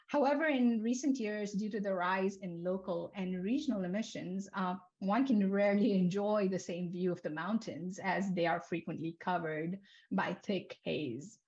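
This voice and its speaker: A young woman from Nepal presents a research seminar in a steady, middle-pitched voice. She moves through her points one by one and drops in small fillers along the way. The recording sounds a little dull and lo-fi. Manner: measured, list-like delivery with frequent um and uh fillers. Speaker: seminar speaker, climate researcher. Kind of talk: academic seminar talk. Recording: slightly dull lo-fi lecture audio.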